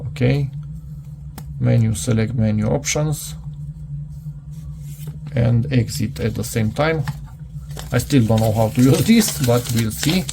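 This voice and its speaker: A man thinking aloud in a casual, unscripted hands-on product review, halting and muttering to himself as he goes. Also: mid-range voice, creaky, noisy background.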